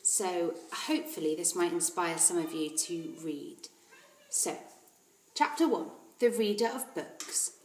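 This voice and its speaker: A woman, a teacher reading a children's story aloud in an expressive narrating style. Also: on the low side, unhurried. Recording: roomy echo.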